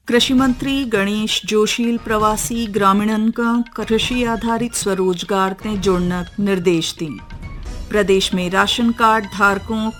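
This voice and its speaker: Woman, Kumaoni accent, radio news reader, scripted regional bulletin, medium pitch.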